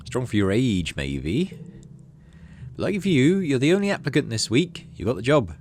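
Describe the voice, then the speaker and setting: A man's voice: mid-range voice, big pitch swings, moderate pace, a little mellow, natural voice. A man voicing a stern, skeptical colony administrator in a video game, with a formal, condescending manner. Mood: grudging.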